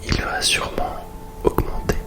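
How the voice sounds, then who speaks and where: A man playing a calm, methodical astronaut explorer in a whispered ASMR roleplay, giving slow, measured status reports. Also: lightly acted. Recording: music behind the voice.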